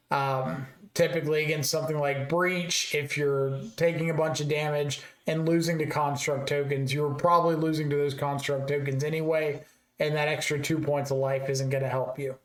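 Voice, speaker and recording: A man with a fairly high voice that is a little raspy today. He explains card game strategy casually and thinks aloud, with a few "uh"s along the way. The room has a noticeable echo. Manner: relaxed, thinking aloud with fillers. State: slightly raspy voice. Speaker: card game content creator.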